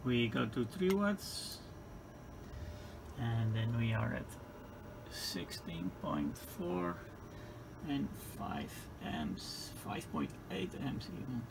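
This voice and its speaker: A man with a Dutch accent and a gravelly voice gives an unscripted, halting hands-on equipment demonstration. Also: mid-range voice, big pitch swings. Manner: halting, hesitant phrasing with repeated words. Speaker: hobbyist demonstrator. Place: indoor electronics workbench. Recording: clearly noisy room recording.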